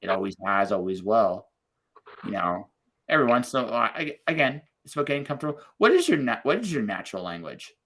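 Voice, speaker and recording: A man hosting a podcast interview over a video call, upbeat and encouraging, talking in quick bursts. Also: mid-range voice, big pitch swings, fairly bright tone. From Canada. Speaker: podcast host. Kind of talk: casual interview chat.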